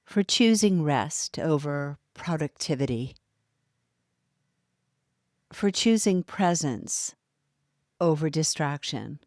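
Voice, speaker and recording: A woman guiding a yoga nidra relaxation, speaking softly and soothingly with long pauses between phrases. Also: sits low, dry recording, studio quality. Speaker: meditation guide. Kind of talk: guided yoga nidra meditation.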